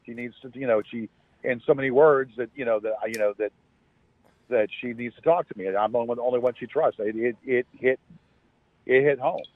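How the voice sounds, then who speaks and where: A man under the weather, talking casually on the phone in a halting, repetitive, rambling way. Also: medium pitch. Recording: thin, phone-like call audio.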